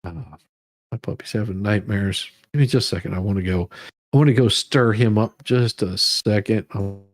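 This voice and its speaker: A man hosting a casual livestream, chatting to viewers in a rambling, halting way that often repeats words. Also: fairly low pitch, no echo. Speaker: livestream host. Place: room at home.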